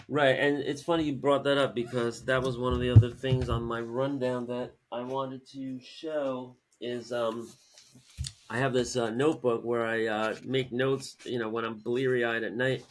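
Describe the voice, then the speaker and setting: A man's voice: mid-range voice, steady tone, measured pace, slight background noise. A man co-hosting a podcast about comics, talking in a casual, conversational way. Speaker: podcast co-host and comic writer.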